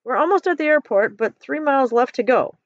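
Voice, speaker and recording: A woman's voice: high-pitched, expressive, fairly quick, no echo, pitched above the performer's own voice. A woman acts out a storybook construction worker, a decisive man who stays patient and practical. The delivery is firm and matter-of-fact, recorded dry with slightly muffled sound. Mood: reassuring.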